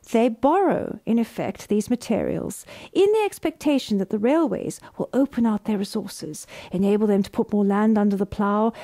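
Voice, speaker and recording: A woman voice artist reading scripted narration in a measured, formal way. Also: fairly high pitch, mellow.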